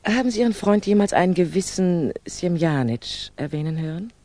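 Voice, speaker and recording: An actress playing a composed, candid older female revolutionary in a 1960s German radio drama, measured, admonishing and probing. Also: lowish voice, animated, dark tone, dry recording, close to an everyday voice. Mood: earnest, certain.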